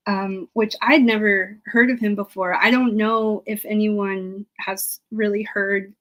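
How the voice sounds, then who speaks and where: A woman speaking as a guest historian, giving informal interview answers about research and thinking aloud with frequent pauses and hedges. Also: medium pitch, fairly even intonation, a bit bright, rough recording.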